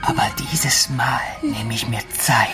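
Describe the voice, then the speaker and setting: An actor playing a menacing, resentful male stalker in a radio crime play, shifting between breathy whispers and agitated accusation. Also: medium pitch, huge pitch swings, unhurried, music in the background. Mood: cold threat. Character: menacing, resentful male stalker gloating over his victim. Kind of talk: German radio play acting.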